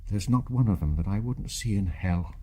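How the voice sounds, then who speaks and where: Husky, low-voiced, devoted invalid English husband played by an actor. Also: male voice, moderate pace, mellow, close to an everyday voice. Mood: loving, earnest. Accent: British Received Pronunciation. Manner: earnest, old-fashioned upper-class phrasing. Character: devoted invalid English husband, brave, tender, bitterly despairing. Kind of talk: radio drama acting.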